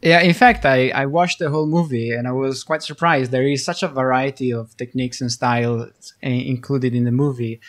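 A man's voice: mid-range voice, fairly even intonation, normal speed, few pauses. A man with an Italian accent hosting a video-call interview, laughing often and teasing his guest lightly. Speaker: host.

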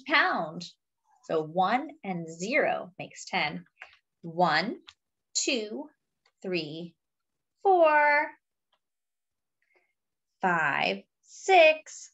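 A young adult woman reading a picture book aloud to small children, addressing them in a deliberate sing-song way. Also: mid-range voice, a bit bright, highs cut off. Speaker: children's story reader.

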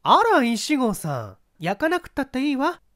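Man voicing a high-pitched, coquettish young actress. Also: wildly swooping pitch, normal speed, fairly bright tone, no room sound, studio quality, exaggerated voice. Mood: teasing. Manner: coquettish, feminine phrasing.

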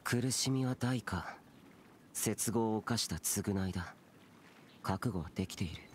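A man's voice: on the low side, measured pace, slight background noise, close to an everyday voice. A man playing a solemn, aloof young immortal demon slayer in a Japanese anime game, stern and terse. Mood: resolute. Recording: game dialogue over music.

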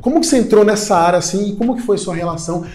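A man in his thirties hosts a laid-back interview and fires off friendly questions in casual slang. He talks fast, and his voice sits a little high for a man. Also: a little mellow. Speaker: interview host, massage therapist.

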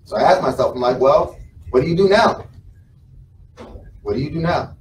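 A man's voice: on the high side, expressive, normal speed. A man in his thirties with an American accent, telling his story conversationally as a motivational speaker, repeating phrases for emphasis. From South Carolina, United States. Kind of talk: informal motivational speech to a live audience. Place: indoor conference room with a small stage. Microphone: camera mic from the audience, several meters away. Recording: roomy, distant mic, some background noise.

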